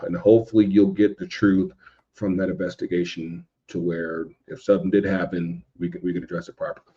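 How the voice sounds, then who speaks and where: A man in a casual podcast discussion, speaking haltingly and repeating words as he works out his thoughts. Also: deep voice, level tone, warm tone. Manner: halting, repeats words while thinking, mild profanity. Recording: dull, lo-fi microphone sound.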